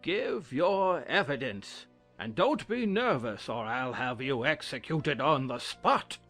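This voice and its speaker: A man's voice: medium pitch, lively intonation, slow, a bit bright, faint music behind. A man playing a pompous, testy, dim-witted king who commands a court in an audio drama. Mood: imperious.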